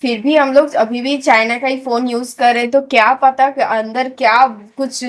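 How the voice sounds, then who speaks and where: A woman from India with an Indian accent chatting casually as a reaction host, halting, repetitive and given to exclamations. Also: sits high, steady tone.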